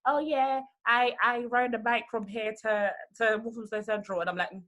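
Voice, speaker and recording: A woman's voice: fairly high pitch, steady tone, normal speed, a bit bright. A woman hosting a podcast, chatting casually, teasing her guest and telling stories. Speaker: podcast host. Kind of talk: casual chat.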